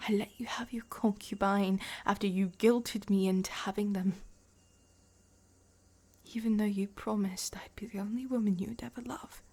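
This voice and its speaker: A woman playing a proud, betrayed royal wife in a dramatic fantasy roleplay, indignant and heartbroken, speaking in a breathy, half-whispered, accusing way. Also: mid-range voice, steady tone, moderate pace, a little mellow. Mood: indignant, bitter.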